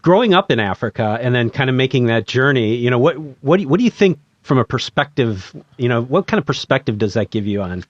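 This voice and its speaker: A man's voice: medium pitch, very animated, quick pace, hardly any pauses, no room sound, lo-fi sound. A man hosting an interview podcast, introducing and questioning his guest. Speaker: podcast host.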